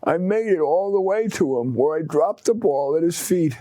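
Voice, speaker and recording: A man's voice: fairly high pitch, animated, moderate pace, dark tone, no room sound, natural voice. A man voicing a tired old dog who tells his story in a tender, plain-spoken way. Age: older. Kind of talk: first-person short story reading.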